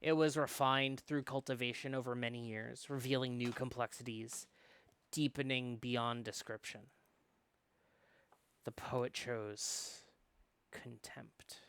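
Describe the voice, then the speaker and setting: A man reading a visual novel aloud as a learned, cautious scholar, formal and measured as he explains and narrates. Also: medium pitch, little pitch movement, a bit bright, clear, resonant tone. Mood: solemn.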